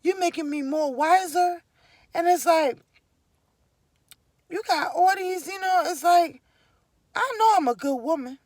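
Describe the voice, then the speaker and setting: A woman's voice: very high-pitched, unhurried, pauses often, a little mellow, dry recording, studio quality. A woman from Liberia with a Liberian accent, telling her own story to camera in an emphatic, repetitive, preaching way. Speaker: vlogger. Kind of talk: casual personal storytelling to camera.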